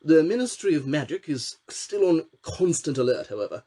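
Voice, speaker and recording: A man's voice: fairly high pitch, expressive, normal speed, mellow, pitched above the performer's own voice. A man playing a timid fidgety minister. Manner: timid, hesitant, fawning. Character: short fidgety nervous male minister, a toady.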